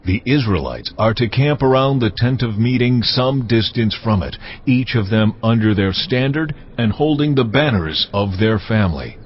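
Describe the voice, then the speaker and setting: A man's voice: medium pitch, expressive, normal speed, a little hiss or hum, lightly acted. A man playing God as a solemn, authoritative lawgiver in a dramatised scripture reading. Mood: solemn, commanding. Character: God, commanding, solemn male divine lawgiver. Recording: slightly dull audio with music underneath. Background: faint music.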